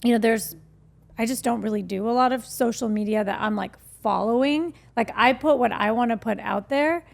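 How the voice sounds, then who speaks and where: A woman speaks as a guest in a relaxed interview, talking candidly and loosely with many fillers. Her voice sits a little high. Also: normal speed, creaky. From United States. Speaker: guest, skincare founder. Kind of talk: casual interview chat.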